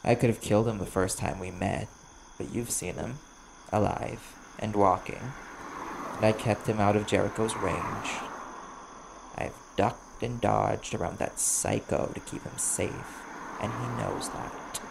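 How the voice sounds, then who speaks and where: Guy doing a wary desperate vampire for audio rp, super gravelly, blunt, crickets and noise behind him. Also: lowish voice, fairly even intonation, unhurried. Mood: insistent, guarded. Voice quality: gravelly, creaky. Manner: guarded, blunt, earnest. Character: wary, desperate male vampire bounty hunter, sharp-tongued. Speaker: audio roleplay voice actor. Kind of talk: ASMR audio roleplay acting. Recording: clear background noise, chirping crickets.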